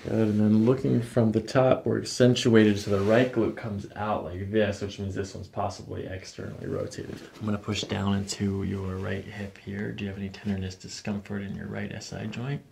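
An American man in his thirties working as a chiropractor, calmly explaining a hands-on exam and asking short check-in questions. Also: on the low side, normal speed, gravelly, hardly any pauses, a little hiss or hum. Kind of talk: hands-on clinical exam narration, ASMR. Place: small carpeted clinic office, indoors.

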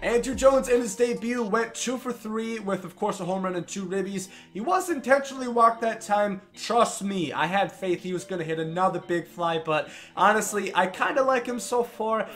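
A young man doing casual, excitable play-by-play over his own video game, a bit congested from a cold, in a small room at home. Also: high voice, moderate pace, hardly any pauses, faint music behind. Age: young adult. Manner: excitable play-by-play, calls hits like a broadcaster. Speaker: gaming YouTuber. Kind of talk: casual gameplay commentary.

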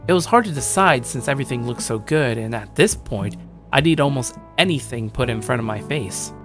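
A man's voice: medium pitch, lively intonation, fairly quick, a bit bright, soft background music, close to an everyday voice. A man playing a shy, awkward young loner gamer, narrating his own story in a self-deprecating way. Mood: wry, casual. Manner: self-deprecating, stammering when talking to others. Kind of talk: first-person story narration with acted dialogue.

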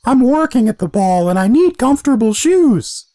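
A woman playing a busy fairy-tale customer in a cartoon, with expressive, deliberate delivery. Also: fairly high pitch. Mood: expectant. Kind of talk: animated fairy-tale dialogue.

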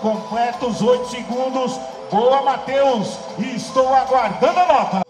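A high-voiced man explains bull riding technique in the rodeo commentary. His voice rings out through a big arena sound system with a long reverb tail. Music plays faintly behind him. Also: measured pace. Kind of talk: play-by-play replay commentary.

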